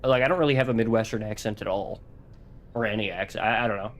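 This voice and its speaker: A man from Louisiana chatting on a game stream while sick, his voice catching as he keeps clearing his throat. He rambles bluntly and swears freely. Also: on the low side, fast. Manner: blunt, profane, meandering. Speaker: streamer co-host. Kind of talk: casual let's-play chat.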